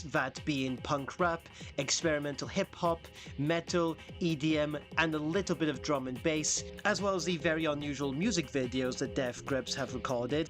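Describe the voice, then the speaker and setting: A young man from Wales with a dry, self-mocking humor, narrating a scripted commentary about a band. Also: fairly high pitch. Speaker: video essayist.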